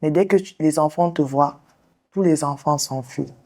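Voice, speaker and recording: A woman therapist hosting the show, leading a guest through a slow guided visualization and counseling talk in a soothing, directive way. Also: deep voice, a little mellow. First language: English. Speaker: sex therapist and show host.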